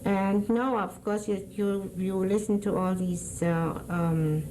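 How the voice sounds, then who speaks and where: A woman in her sixties with a German accent, born in Germany, recalling her past in an oral history interview, often pausing and searching for words. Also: medium pitch, fairly even intonation, mellow, slight background noise, poor audio.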